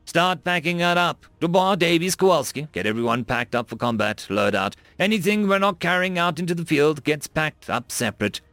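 A man voicing a confident female Marine sergeant who gives brisk, firm orders in an audiobook story. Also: on the high side, lively intonation, a bit bright, no room sound, voice pushed up. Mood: commanding. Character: commanding, confident female Marine sergeant briefing her squad.

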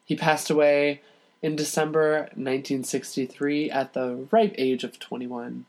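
A man's voice: fairly high pitch, flat delivery, a little slow, rough recording. A man appearing as a podcast guest, reading aloud and chatting casually.

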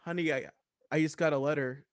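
A dry, close-sounding voice, slightly high as a man improvises a put-upon husband. He speaks haltingly, with bewildered, resigned deadpan suited to a comedy sketch. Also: dry recording, natural voice. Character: bewildered, resigned husband being pushed to die.

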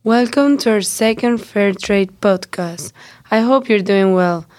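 A woman's voice: medium pitch, unhurried, darkish tone. A young woman from Spain hosting a student podcast, reading prepared lines evenly. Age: young adult. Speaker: host. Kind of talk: student podcast, read from notes.

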